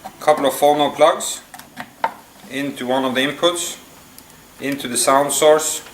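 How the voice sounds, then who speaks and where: A man giving an unscripted product demonstration, explaining step by step in a halting, hesitant way. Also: mid-range voice. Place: indoor room at a table. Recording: echoey room, distant mic, faint noise.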